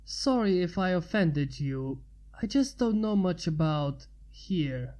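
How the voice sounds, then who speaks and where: A woman voicing a wounded male mage, a polite, weary stranger who speaks weakly and haltingly, for a fantasy storybook. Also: fairly low pitch, expressive, dark tone. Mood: curious, apologetic. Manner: weak, halting, courteous.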